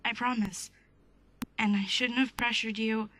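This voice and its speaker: An actress as an earnest young woman in an audio drama, fairly high-voiced. She speaks awkwardly and hesitantly, as if easily flustered and quick to apologize. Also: steady tone, fairly bright tone, slight background noise, rough recording. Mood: apologetic. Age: young adult. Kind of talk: naturalistic audio drama dialogue.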